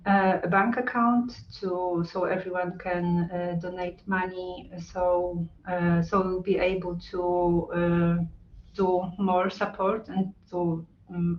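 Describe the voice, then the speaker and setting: A woman's voice: lowish voice, a little hiss or hum. A woman on a video call, speaking as a with many ums and uhs. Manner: halting, with frequent ums and uhs. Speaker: hub representative on a video call. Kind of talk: hesitant online talk with many fillers. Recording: roomy, distant, muffled call audio.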